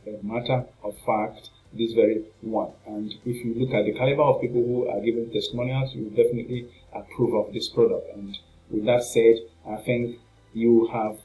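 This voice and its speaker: A man with a lowish, very soft-edged, mellow voice talks through an on-screen product review. His delivery is informal and halting, circling back over phrases as he rambles through each point. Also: flat delivery, poor audio. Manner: halting, repetitive, rambling narration with filler phrases.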